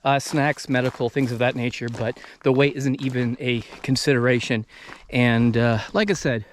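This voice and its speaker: An American man in his thirties talking casually to camera on a hike, halting and thinking aloud as he moves. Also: mid-range voice, fairly even intonation. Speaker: vlogger. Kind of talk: casual talk to camera while hiking. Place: outdoors in open desert backcountry. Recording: outdoor noise on handheld camera.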